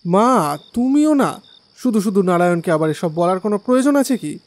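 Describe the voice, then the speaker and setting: A man's voice: sits high, very animated, moderate pace. A man playing a dutiful, eager young village son in an audio drama, with dialogue and first-person storytelling. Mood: eager, reassuring. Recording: long hall reverb, music underneath.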